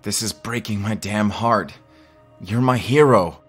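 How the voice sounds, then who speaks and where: A man's voice: fairly low pitch, measured pace, fairly bright tone, husky, soft background music. A man playing a dutiful, heartbroken young lord and human hero, earnest and formal, in a fantasy audio drama. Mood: anguished.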